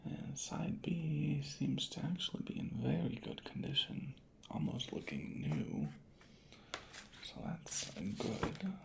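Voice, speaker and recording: A soft-spoken ASMR creator murmuring methodically in a breathy, whispery voice, unhurried and close to the microphone. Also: mid-range voice, huge pitch swings, background noise. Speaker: ASMR creator playing a record inspector.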